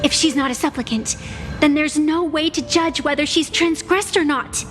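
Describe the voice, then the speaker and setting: A woman's voice: high voice, normal speed, music in the background, lightly acted. A woman voicing a solemn, earnest young female judge in a game, with a ceremonial manner. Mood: puzzled, uneasy. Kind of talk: scripted game voice acting.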